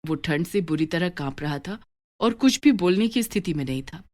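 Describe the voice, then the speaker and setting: A woman narrates a children's moral story in a lively, melodic way, her voice on the lower side. She tells it steadily and expressively, scripted, like a bedtime tale. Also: normal speed.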